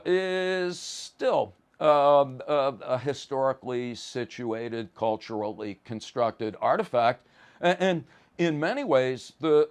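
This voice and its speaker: A man giving an informal philosophy lecture in a deliberate, halting manner, leaning on key words. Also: medium pitch.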